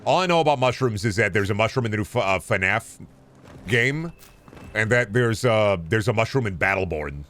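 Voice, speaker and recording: A man chatting casually as a video game streamer, rambling in a halting way and often repeating phrases. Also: on the low side, lively intonation, a bit bright, a little hiss or hum. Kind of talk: casual rambling livestream chat.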